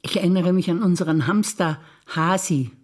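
A female stage actor reciting personal memories in a calm, reflective way, over music. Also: on the low side, normal speed.

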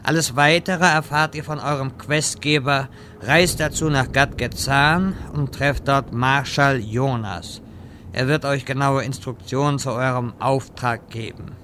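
A man's voice: medium pitch, steady tone, measured pace, bright, forceful tone, a little hiss or hum. A man playing a pompous, vain wizard with grandiloquent, stilted wording in a parody radio play. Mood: solemn. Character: pompous, vain, theatrical male wizard quest-giver.

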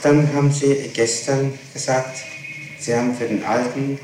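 A very reverberant, noisy old tape of a man conducting a formal interrogation. His voice is medium in pitch, and he puts his questions in a methodical, probing, official way. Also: fairly even intonation, unhurried, darkish tone. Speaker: public prosecutor, interrogator. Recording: very echoey, noisy 1960s tape recording.

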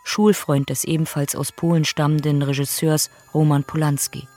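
A woman's voice: sits low, little pitch movement, moderate pace, warm tone, faint music behind, lightly acted. A woman narrating victims' profiles in a radio play, in a clipped, factual, sober manner.

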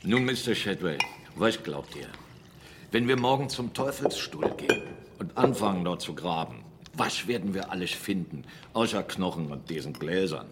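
A man playing a bluff, commanding country captain and host in a German radio drama, gruff and hearty. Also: low-pitched, animated, moderate pace, noisy background. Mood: probing, courteous.

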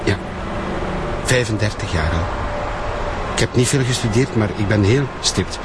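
An actor playing a punctilious, socially awkward middle-aged warehouse worker in a Flemish radio drama, speaking insistently in short clipped sentences. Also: male voice, lowish voice, a little slow, very noisy, lightly acted. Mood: proud, earnest.

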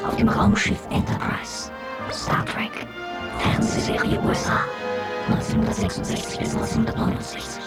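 A man making dramatic scripted announcements in a whispery, creaky voice. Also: moderate pace, distant mic. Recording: loud music over voice.

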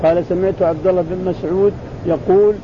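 A man explains a religious text in spoken commentary. His voice sits high and he talks quickly, often repeating and restarting phrases. The recording is muffled, with a loud, constant rumble behind him. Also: steady tone. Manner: repeats phrases, restarts words, acts out quoted exchanges. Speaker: teacher explaining hadith.

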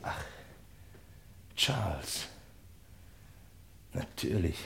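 An actor playing an agitated, indecisive ordinary man in a vintage German radio crime play, speaking haltingly. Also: low voice, very animated, vocal fry, noisy background, full character voice. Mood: despairing. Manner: hesitant, anguished, halting.

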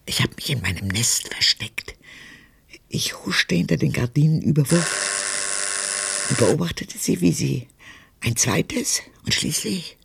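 An actress playing an ironic, stubborn old woman in a radio drama, with a husky, breathy voice that often nears a whisper and a dry, deliberate delivery. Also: sits low, sing-song, slight background noise, full character voice. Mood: secretive, wry. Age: older. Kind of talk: radio drama monologue and dialogue.

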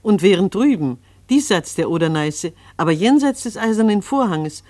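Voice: medium pitch, lively intonation, normal speed, a little mellow, no room sound. Solemn narrator in a very dry studio. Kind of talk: solemn scripted radio narration, biblical cadence.

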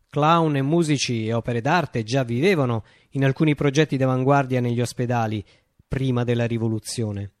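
Man performing a visionary revolutionary leader, theatrical radio monologue, grandiose and poetic, very varied pitch, dry close sound. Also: no room sound, natural voice. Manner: declamatory, with deliberate dramatic pauses.

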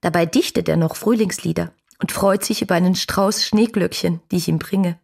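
An actress playing an ardent, devoted young romantic woman in a literary radio drama, earnest and intimate, with a breathy, slightly husky voice. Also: fairly low pitch, fairly even intonation, normal speed, darkish tone. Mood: reflective, admiring. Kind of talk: literary radio drama monologue, read letters.

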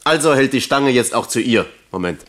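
A male actor as an East German works official in a radio play. His voice sits in a middle register. He speaks in measured, bureaucratic phrases, evasive and condescending toward younger colleagues. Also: mid-range voice, animated, a bit bright, natural voice. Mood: firm, official.

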